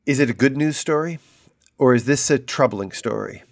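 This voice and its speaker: A man hosting an interview, working through long hypotheses out loud and asking probing questions. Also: medium pitch, normal speed, vocal fry. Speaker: interviewer host.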